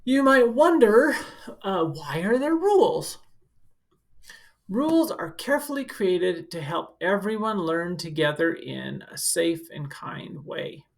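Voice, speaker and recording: A woman reads a picture book aloud in the role of a school counselor. Her voice sits fairly low for a woman and has a strong creaky fry. She speaks directly to young listeners, slipping between the text and informal explanations. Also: sing-song, takes it slowly. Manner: instructive, speaking directly to children.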